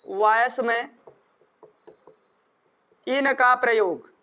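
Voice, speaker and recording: High-pitched North Indian man teaching. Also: drawn-out words, bright, forceful tone. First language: Hindi. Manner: repetitive, emphatic teacherly delivery, spelling out examples word by word. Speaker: English grammar teacher. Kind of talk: classroom lesson explanation with dictated examples.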